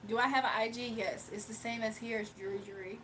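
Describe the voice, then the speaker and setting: A woman's voice: a little high, fairly even intonation, fast, sharp tone, a little hiss or hum, poor audio. A woman chatting casually on a live stream from her phone, reading viewer comments aloud and laughing often. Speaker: livestreamer.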